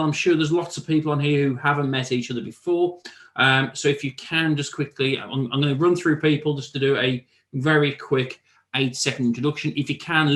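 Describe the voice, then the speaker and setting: A man hosting an online networking call, calling people in turn in a brisk, informal way. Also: mid-range voice, reverberant. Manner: brisk, informal, repeats "brilliant" between people. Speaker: host. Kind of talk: casual online meeting hosting. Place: video call. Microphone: video call.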